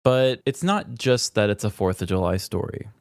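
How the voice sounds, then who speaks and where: A man's voice: on the low side, very animated, moderate pace, no room sound. Dry-recorded casual man podcaster. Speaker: podcast co-host. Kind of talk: casual chat.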